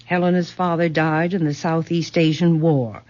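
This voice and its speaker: A woman's voice: deep voice, little pitch movement, moderate pace, darkish tone, lightly acted. An actress playing an imperious, bitter middle-aged mother in a haughty, formal, commanding old-time radio style. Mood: bitter, defiant. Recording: dull, lo-fi vintage radio recording.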